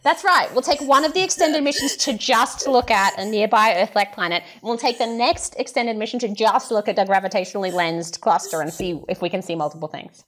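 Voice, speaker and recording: Female scientist guest, medium pitch, lively and informal, explaining with playful humor. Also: brisk, few pauses. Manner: animated, informal, jokey storytelling. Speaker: astronomer guest. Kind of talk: casual science chat.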